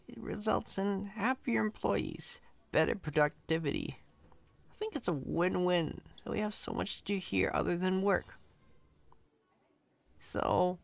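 A man's voice: sits high, vocal fry, no echo, exaggerated voice. A man playing a chatty, cocky, friendly company employee in an ASMR roleplay, casual, reassuring and playfully boastful.

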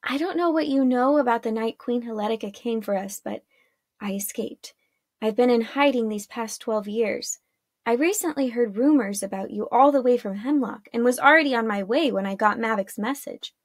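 A woman voicing an emotional long-lost mother in a storybook, gentle and earnest. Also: fairly high pitch, normal speed, no room sound. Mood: weary.

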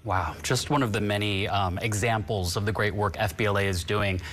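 A man's voice: fairly low pitch, steady tone, brisk, a bit bright, a little hiss or hum. A man whose first language is Vietnamese conducts a broadcast news interview in a polished, upbeat anchor manner.

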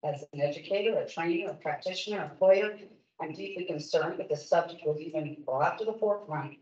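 A woman's voice: low-pitched, a little fast, a little mellow. A woman in her fifties, an esthetician from Oregon, giving an earnest, emphatic public comment to a licensing board in a large meeting room. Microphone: distant room mic. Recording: roomy, distant, dull meeting audio.

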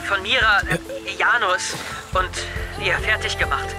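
Teenage schoolboy, evasive, bitter undertone, hesitant, audio drama, music behind. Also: male voice, high voice, fairly even intonation, unhurried. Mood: cold, bitter.

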